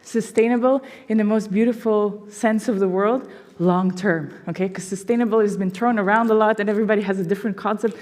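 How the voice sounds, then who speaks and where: A woman from Belgium presents to an audience with eager energy, often saying "you know". Her voice sits at a middle pitch. Long reverb from the hall trails behind her words. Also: fairly even intonation, fairly quick, darkish tone. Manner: enthusiastic, fillers like "you know" and "no". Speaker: foundation presenter. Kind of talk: public presentation. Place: symposium hall.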